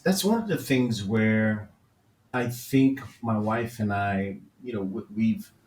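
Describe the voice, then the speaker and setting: A man from Florida with a lowish voice hosts a conversational interview. He feels his way through questions and circles back to restart them. The recording sounds roomy, with a faint hiss of ambient noise underneath. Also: slow, darkish tone. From Florida, USA. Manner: thoughtful, searching questions with frequent restarts. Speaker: podcast host. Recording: echoey room with faint background noise.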